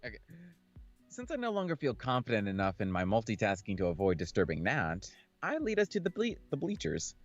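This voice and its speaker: A man's voice: on the low side, wildly swooping pitch, a little fast, dull audio. A man doing a casual, jokey playthrough, reading a visual novel aloud and commenting in slang. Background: background game music.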